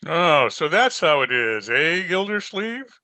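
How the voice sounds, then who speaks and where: A man's voice: fairly high pitch, sing-song, slow pace, bright, forceful tone, no room sound, exaggerated voice. A man playing a genial, gallant mayor in an old-time radio comedy, courtly and hearty. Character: genial, gallant male small-town mayor.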